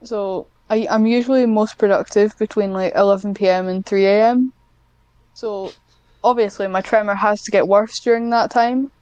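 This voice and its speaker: A woman's voice: mid-range voice, steady tone, normal speed, a little mellow, clean tone, no room sound, dull audio. A woman chatting casually as a podcast guest, hesitant and self-deprecating, with a giggly manner. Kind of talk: casual interview chat.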